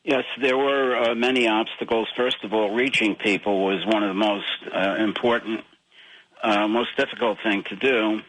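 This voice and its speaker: A man speaking deliberately as a guest in an interview. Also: on the low side, steady tone, a bit bright, low-quality recording. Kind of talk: spontaneous interview talk.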